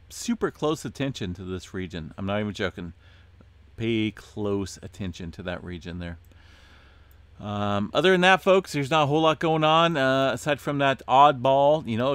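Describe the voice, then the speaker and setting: A man hosting an earthquake update, talking casually through his screen with many fillers and a voice going hoarse. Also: on the low side, no echo. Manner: relaxed, many "uh" fillers, addresses viewers as folks. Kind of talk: casual screen-share commentary.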